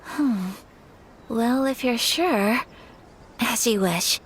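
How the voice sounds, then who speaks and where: A young woman voicing a noble lady in a video game, speaking politely, formally and gently. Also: fairly high pitch, drawn-out words, a little hiss or hum. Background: faint background music.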